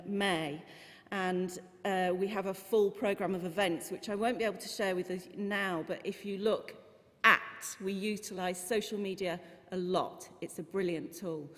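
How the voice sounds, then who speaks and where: A woman's voice: mid-range voice, a bit bright. A woman organiser giving an earnest open mic talk at a conference, with frequent ums and restarts. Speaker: festival organiser. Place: church hall conference. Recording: long reverb of a large hall.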